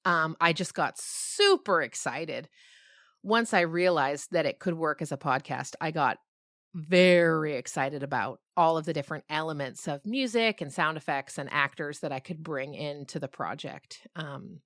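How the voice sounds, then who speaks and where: Woman, middle-aged, interviewed author. Bright, forward tone, animated and conversational, dry recording. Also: low voice, moderate pace, no echo. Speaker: novelist and podcast creator being interviewed.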